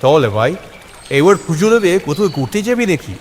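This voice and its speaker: A man's voice: a little high, very animated, fairly quick. A man playing a supportive friend in an audio story, casual yet concerned and cautioning. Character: supportive, cautioning male friend of a young worker. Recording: noisy recording with long hall reverb.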